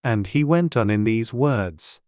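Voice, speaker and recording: A woman's voice: extremely low pitch, lively intonation, measured pace, a little mellow, no room sound, studio quality. A woman reading scripted storybook narration as an audiobook narrator.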